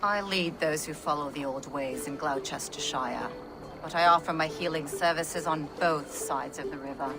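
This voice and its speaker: Stern low-voiced village healer. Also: female voice, moderate pace, over music. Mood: calm. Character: stern, dry-witted mature village healer woman, motherly. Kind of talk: video game voice acting.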